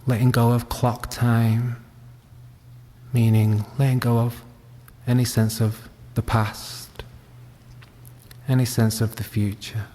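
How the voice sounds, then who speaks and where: A man leading a guided meditation, speaking gently and leaving long pauses. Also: mid-range voice, little pitch movement. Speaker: meditation teacher. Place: reverberant room at a wellness centre. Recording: long hall-like reverb.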